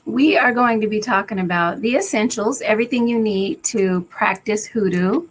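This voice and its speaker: A woman with a raspy voice co-hosting a casual live spiritual chat, relaxed and chatty. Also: mid-range voice, normal speed. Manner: relaxed, chatty, often laughing.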